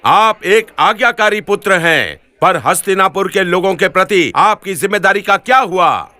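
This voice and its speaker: A man's voice: high voice, expressive, moderate pace, bright, forceful tone, no echo. A man playing a worried, reproachful subject of a kingdom in a mythological cartoon, formal and pointed. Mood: reproachful, gloomy. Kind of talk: dubbed mythological cartoon acting.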